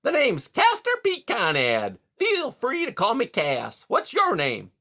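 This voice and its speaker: A man's voice: very high voice, wildly swooping pitch, a little slow, creaky, bright, forceful tone, voice pushed up, exaggerated voice. A man reading aloud, voicing a smarmy, hearty male beaver who chatters in folksy salesman sayings.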